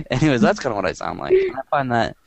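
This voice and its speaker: A man hosting a podcast in casual chat, with a quiet, monotone voice and a rambling, dry way of talking. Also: medium pitch, fast, gravelly, no room sound. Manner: rambling and dry, filler-heavy. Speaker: podcast host.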